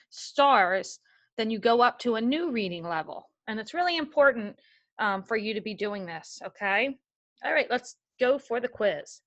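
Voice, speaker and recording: A woman's voice: on the high side, a bit bright, muffled recording. A woman teaching a remote class of young children, reading a picture book aloud and giving patient, step-by-step instructions. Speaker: elementary school teacher.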